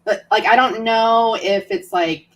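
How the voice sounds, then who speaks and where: A woman chatting casually about books in a halting, tentative, informal way, as co-host of a livestream. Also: medium pitch, fairly even intonation. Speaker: co-host of a book readalong livestream.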